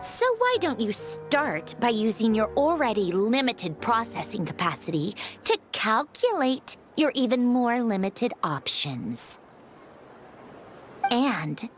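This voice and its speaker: A woman's voice: on the high side, full character voice. A woman playing a refined young female game villain who speaks pompously, with condescending, menacing politeness. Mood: smug. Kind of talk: theatrical scripted game dialogue. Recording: music behind the voice.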